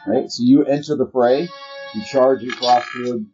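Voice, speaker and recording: A man's voice: mid-range voice, fairly even intonation, normal speed, dull audio, over music. A man running a tabletop role-playing game, narrating the action and explaining rules conversationally. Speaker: game master.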